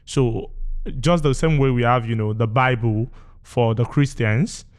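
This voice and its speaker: A Nigerian man whose first language is Yoruba, answering interview questions as a poet guest in a measured, thoughtful way with pauses and fillers. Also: medium pitch. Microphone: studio microphone.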